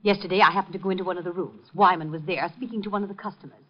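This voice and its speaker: Woman acting cold imperious lady, lowish voice, clipped orders, muffled 1950 radio drama recording. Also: fairly even intonation, racing, close to an everyday voice. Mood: scornful. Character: icy, imperious, mocking woman of the art gallery. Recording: dull old radio recording, faint music.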